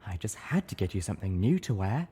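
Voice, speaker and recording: A man's voice: fairly low pitch, sing-song, quick pace, dark tone, slightly rough, exaggerated voice. A man voicing an elegant, theatrical mare pony with a sweetly chiding, sing-song manner in an audiobook. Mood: breezy. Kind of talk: audiobook character acting.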